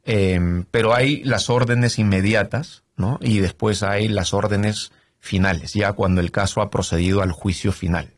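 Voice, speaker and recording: A middle-aged man from Canada, a trial lawyer, explaining his work in a relaxed interview with many fillers and tag questions. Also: fairly low pitch, a little slow, few pauses, no room sound, clean studio sound. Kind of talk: conversational interview.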